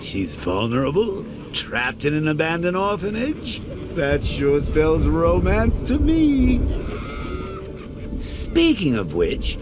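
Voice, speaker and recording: A man's voice: high-pitched, gravelly, phone-quality sound, heavily acted. An actor playing a sadistic, mocking male clown villain in a video game, taunting and theatrical, breaking into cackles. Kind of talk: game voice lines.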